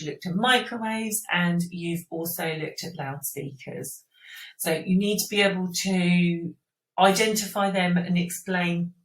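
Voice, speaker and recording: A woman teacher giving an informal step-by-step school science explanation, often checking in with the listener. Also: lowish voice. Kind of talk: explanatory school revision lesson. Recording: roomy echo, distant microphone.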